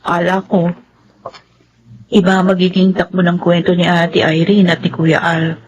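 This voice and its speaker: An actress with a Filipino accent playing a concerned, outspoken younger sister in a radio drama. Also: female voice, lowish voice, monotone, moderate pace, a little hiss or hum, close to an everyday voice, low-quality recording. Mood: worried, sad.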